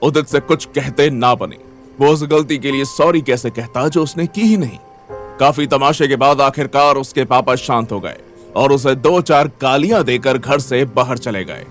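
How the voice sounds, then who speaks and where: A man's voice: medium pitch, normal speed, faint music behind. A man narrating a scripted Hindi audio story in a dramatic style.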